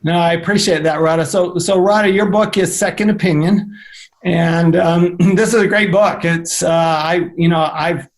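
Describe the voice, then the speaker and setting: A man hosting an interview show, talking in a loose, halting way with frequent fillers and restarts. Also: fairly high pitch, fairly even intonation. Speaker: host. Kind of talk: interview podcast. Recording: remote call.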